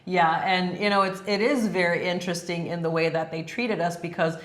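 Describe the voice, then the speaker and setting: Low-voiced woman, Spanish native, echoey room. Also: steady tone, a little fast. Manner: friendly, laughs often, many fillers. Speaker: co-host. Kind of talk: casual travel chat.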